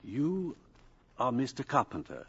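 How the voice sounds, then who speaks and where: Earnest professor, husky voice, lively intonation, formal and inquiring, old radio play, muffled recording. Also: male voice, mid-range voice, unhurried, heavily acted. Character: earnest, courteous, scholarly male science professor. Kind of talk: 1950s radio drama acting. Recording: dull 1950s radio broadcast recording.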